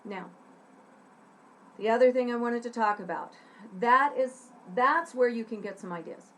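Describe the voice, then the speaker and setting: A middle-aged woman from Texas teaching scriptwriting in a chatty, animated way, talking to a webcam in a small room. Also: fairly high pitch, measured pace. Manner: chatty, animated, gesturing with her hands. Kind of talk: casual talk to camera. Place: small room with a dark curtain behind.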